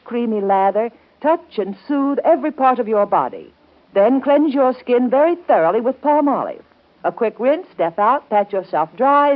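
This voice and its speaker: An American woman reading a scripted 1930s radio commercial, advising the listener in an intimate, carefully phrased way. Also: a little high. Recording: dull, band-limited 1930s radio broadcast.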